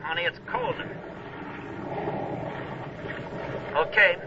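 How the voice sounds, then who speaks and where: A man acting a high-voiced, terse diver. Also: fast talker, distant mic, natural voice. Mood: strained, businesslike. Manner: clipped, loud reporting. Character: terse, tough deep-sea diver reporting by phone. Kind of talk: 1940s radio drama acting. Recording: muffled lo-fi radio recording, noisy background.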